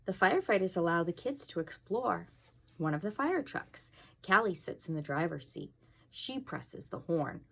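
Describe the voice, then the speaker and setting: Low-pitched woman reading expressively to children. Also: moderate pace, creaky, a little hiss or hum. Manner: expressive, asking the young listeners questions. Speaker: fire inspector reading a children's book. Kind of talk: read-aloud storytelling with casual asides to children.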